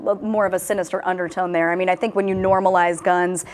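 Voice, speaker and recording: A woman hosting a casual online news discussion, talking in an animated way and reading quotes aloud with a lift in her voice. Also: on the low side, fast. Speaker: host.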